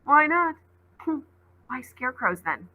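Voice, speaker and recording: A woman's voice: high-pitched, big pitch swings, a little slow, phone-quality sound, pitched above the performer's own voice, exaggerated voice. A woman voicing a sinister, boyish supernatural trickster in a storybook, taunting and smug in a theatrical way. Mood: smug, dismissive.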